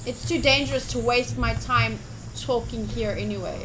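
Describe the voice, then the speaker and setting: A woman streaming a game playthrough, chatting casually and reading the text aloud in an expressive, enthusiastic way. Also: fairly high pitch, normal speed, noisy background. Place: livestream setup.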